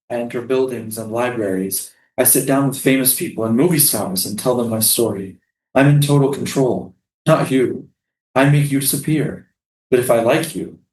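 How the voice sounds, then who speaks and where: A man playing a poetic, defiant prisoner, speaking lyrically and deliberately in a theatrical staged reading. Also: mid-range voice, a little mellow, lightly acted. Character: male prisoner Jamil, dreamy, defiant, poetic. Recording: echoey live stage room.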